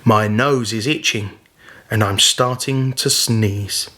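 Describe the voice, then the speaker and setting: A man's voice: fairly low pitch, fairly even intonation, slow pace, bright, forceful tone, exaggerated voice. A man voicing a discontented young boy who complains in a sulky, dramatic way in a children's storybook. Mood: miserable.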